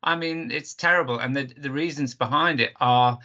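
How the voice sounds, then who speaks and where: Loud guy rambling on a muffled call, bright tense voice. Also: medium pitch, moderate pace. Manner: talkative, rambling, many fillers. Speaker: audience member. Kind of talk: question from the audience. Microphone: video call. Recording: dull lo-fi video call audio.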